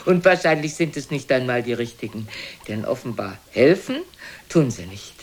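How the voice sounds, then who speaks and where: An older woman playing a frail, sharp-tongued, reproachful old mother in a German radio play, scolding theatrically and dropping into whispers. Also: rumbling low, expressive, moderate pace. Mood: nosy, mocking. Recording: noisy older radio recording.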